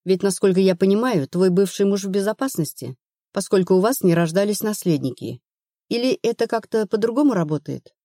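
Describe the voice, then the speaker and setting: A woman narrator playing a brisk, rational female investigator in an audiobook, blunt and assured. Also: medium pitch, warm tone, no room sound, studio quality, lightly acted. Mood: probing. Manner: matter-of-fact, assured.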